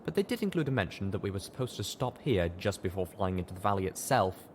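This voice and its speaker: A man's voice: deep voice, big pitch swings, quick pace, slight background noise. A man reading a first-person story as scripted audiobook narration. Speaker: audiobook reader.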